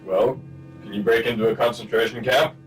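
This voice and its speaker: An actor playing a stern, self-important secret service chief in a comic spy radio play. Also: male voice, medium pitch, steady tone, a little fast, far from the mic, faint music behind, natural voice, low-quality recording. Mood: official, exasperated.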